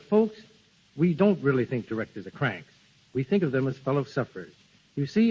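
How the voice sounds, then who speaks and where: A man reads a folksy scripted endorsement as a radio guest. His mid-pitched voice is rough and husky. The old broadcast is muffled. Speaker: guest cameraman giving endorsement. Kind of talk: scripted 1930s radio advertising talk.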